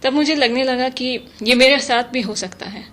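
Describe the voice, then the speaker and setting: A woman giving measured, thoughtful interview answers, mixing English terms into her speech. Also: high voice. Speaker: interview guest, translator. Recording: noticeable steady background noise.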